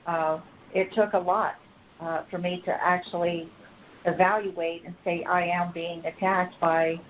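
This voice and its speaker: A woman with a fairly low, flat-toned voice speaks as a caller, earnest and polite. She is measured and careful with her words. The phone line is dull, with some faint noise. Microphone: telephone.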